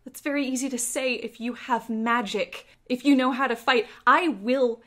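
Game master lady doing a scared bitter noblewoman, breathless, voice goes high, tabletop game. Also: steady tone, moderate pace, a bit bright. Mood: fearful, pleading. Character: frightened, bitter noblewoman, fearing abandonment.

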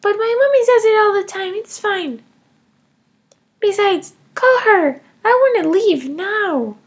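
A woman plays a sassy little kindergarten girl in a comedy skit, her voice pitched very high and whiny. It carries a gravelly edge. She talks back with stubborn defiance. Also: animated, slow pace, full character voice. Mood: defiant. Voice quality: high childish whine. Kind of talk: comedy skit role-play.

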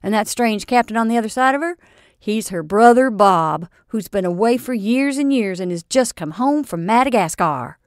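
A woman voicing an earnest old male sea captain who talks in loud, salty seafaring phrases. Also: fairly high pitch, expressive, normal speed, no echo, clean studio sound, pitched above the performer's own voice, natural voice. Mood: jubilant.

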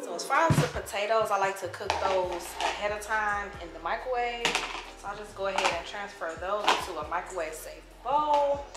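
Chatty woman over loud background music. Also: mid-range voice, moderate pace. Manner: relaxed, chatty instructions with fillers. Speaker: cooking vlogger. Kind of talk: casual cooking walkthrough. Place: home kitchen, indoors.

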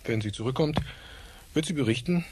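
A man playing a scientist who leads an experiment, explaining in a measured, formal way in a radio drama. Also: mid-range voice, animated, natural voice. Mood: measured, explanatory. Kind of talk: German radio drama acting. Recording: clear background noise.

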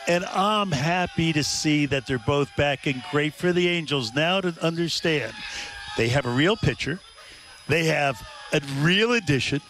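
A man's voice: fairly high pitch, measured pace, a bit bright, slight background noise. A man in his sixties giving conversational postgame analysis as a sports commentator. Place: open broadcast desk at a ballpark concourse. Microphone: headset.

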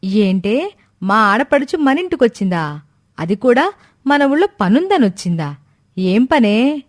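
A woman voicing a nosy, suspicious younger daughter-in-law in an animated moral story, with a questioning, insinuating manner. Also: a little high, unhurried. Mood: prying.

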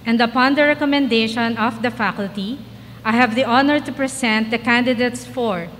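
A woman delivers a formal presentation into podium microphones. Her voice is fairly high and carries a long reverb through the large hall. Faint noise sits underneath. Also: a bit bright. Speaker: college dean. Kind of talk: formal ceremonial address.

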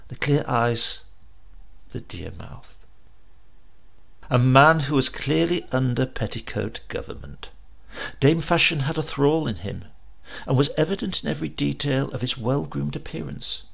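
A man narrating a horror story in a theatrical, expressive way, with deliberate drawn-out pauses. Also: medium pitch, gravelly. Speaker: audiobook narrator.

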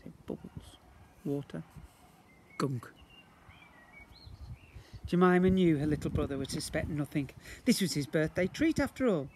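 A British man in his fifties reads a children's storybook aloud like a teacher, theatrically, with drawn-out emphasis and acted sound words. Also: on the high side, animated, darkish tone. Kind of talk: expressive children's storybook reading. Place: outdoors beside brick houses. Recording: noisy outdoor amateur video recording.

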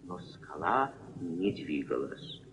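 A man narrating a puppet-film fairy tale as a wry, measured, expressive storyteller. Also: low voice, a little hiss or hum, exaggerated voice. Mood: wry, storytelling. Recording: dull old film soundtrack, music behind.

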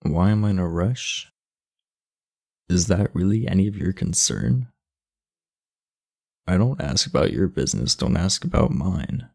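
A man acts out a sleepy, irritable delinquent schoolboy in an intimate ASMR roleplay. His deep voice is husky and slow, yet it moves around a lot in pitch. He talks straight to the listener, blunt, sardonic and guarded. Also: animated, darkish tone, no room sound, clean studio sound, full character voice. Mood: curt, impatient. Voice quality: gravelly, low. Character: aloof, irritable, sleepy teenage boy, class delinquent.

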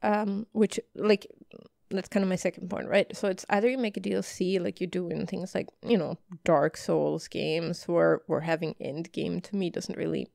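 Heavily gravelly woman, dry and blunt. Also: lowish voice, fairly even intonation, moderate pace, a little mellow, dry recording. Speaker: podcast co-host. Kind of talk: casual podcast chat.

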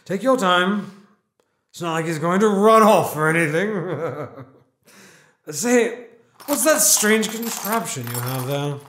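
An actor playing a breezy, joking, curious morgue doctor in an audio drama, with a jovial, leisurely manner. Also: male voice, high-pitched, lively intonation, slow pace, fairly bright tone, echoey room, a little hiss or hum, exaggerated voice. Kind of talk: scripted audio drama acting.

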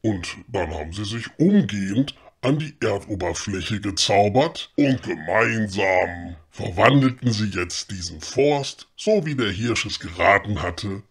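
A man's voice: lowish voice, animated, measured pace, few pauses. A man narrating a comedy audio drama in the style of a storybook. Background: clear music.

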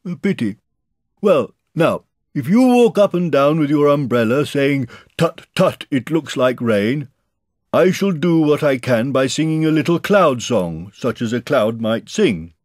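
A man's voice: medium pitch, very animated, a little slow, dry recording, clean studio sound, pitched above the performer's own voice. A man voicing an earnest, scheming, slightly anxious toy bear in a children's storybook, with a ponderous, solemn manner. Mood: urgent, earnest. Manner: ponderous, solemn, calling out loudly. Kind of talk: children's storybook character reading.

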